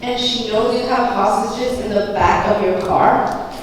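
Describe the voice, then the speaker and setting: Echoey far away lady reading nervy but defiant housewife part, highish voice, film script read. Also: fairly even intonation, unhurried, natural voice. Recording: very echoey, distant, faint noise.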